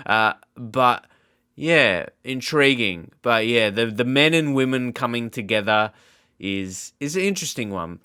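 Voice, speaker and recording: A man hosting a gaming channel, giving casual, off-the-cuff reaction commentary in a rambling, halting, informal way. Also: medium pitch, a bit bright, clear, resonant tone, no echo, studio quality.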